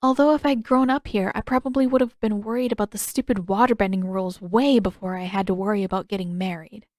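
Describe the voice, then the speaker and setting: A woman's voice: mid-range voice, steady tone, fast, a little mellow, dry recording, studio quality, close to an everyday voice. A woman voicing a headstrong, curious teenage girl in an audiobook, earnest, candid and full of pressing questions. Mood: frustrated, headstrong. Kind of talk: audiobook character dialogue.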